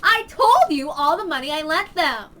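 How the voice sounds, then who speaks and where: A woman's voice: a little high, sing-song, moderate pace, fairly bright tone, far from the mic. A woman voicing a brash female moneylender who talks back sharply. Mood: irritated. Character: brash adult woman moneylender, mocking and sassy.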